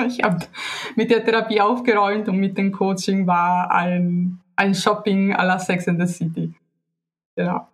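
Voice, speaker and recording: Podcast guest: woman, unhurried and hesitant, mid-range voice. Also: mid-range voice, little pitch movement. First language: Italian. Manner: hesitant, searching for words with many fillers. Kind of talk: casual conversation.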